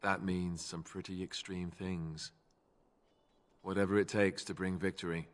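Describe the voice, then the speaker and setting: A man voicing an earnest, self-deprecating young knightly warrior in a video game, explaining things in a measured way. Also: sits low, little pitch movement, in a large hall.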